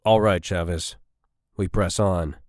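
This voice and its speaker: A hard, impatient army sergeant, put on by a male narrator, gruff and commanding. The voice is a low one in a dry sci-fi audiobook recording. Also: fairly even intonation, slow pace, darkish tone, no echo.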